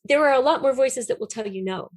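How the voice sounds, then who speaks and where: A middle-aged woman giving an earnest, emphatic and expressive explanation as a guest in a conversational interview. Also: high-pitched, quick pace, no room sound, clean studio sound. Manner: earnest, emphatic, expressive storytelling with illustrative metaphors. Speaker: podcast guest, writer.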